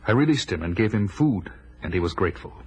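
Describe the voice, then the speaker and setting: A man's voice: on the low side, lively intonation, fast talker, a little mellow, breathy edge, rough recording. An actor playing a proud, vengeful barbarian warrior who tells his tale in a grandiose, declamatory audio drama style. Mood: dramatic narration. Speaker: hero and narrator.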